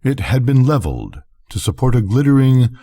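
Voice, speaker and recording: An older man reading a bedtime story aloud as a measured first-person narrator. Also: medium pitch, sing-song, mellow. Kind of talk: bedtime story narration of classic science fiction.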